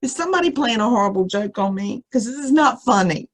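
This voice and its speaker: A middle-aged American woman with a husky voice reads a scary first-person story aloud, expressively and with suspenseful pauses. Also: on the high side, expressive.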